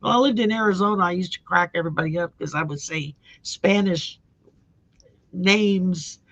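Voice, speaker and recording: A woman's voice: on the low side, normal speed, lo-fi sound. A woman with a Cajun Louisiana accent hosting a live stream, giving a casual, folksy tarot reading with frequent ums. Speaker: host and tarot reader.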